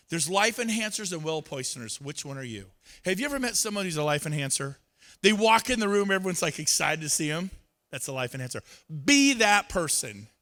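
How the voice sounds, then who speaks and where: A man's voice: a little high, a bit bright. A man giving a motivational talk on stage, acting out both sides of conversations with others. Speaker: real estate speaker. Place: event stage.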